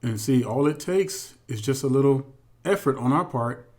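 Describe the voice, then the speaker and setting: A man's voice: mid-range voice, expressive, normal speed, darkish tone. A man hosting a religious teaching commentary, speaking emphatically and directly to his followers with repeated phrases. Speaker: host.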